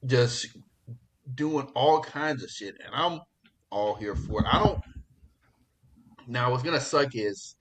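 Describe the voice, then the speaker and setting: A man hosting a podcast in casual pop culture chat, talking in an excitable, slangy, profane way. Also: lowish voice. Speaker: podcast host.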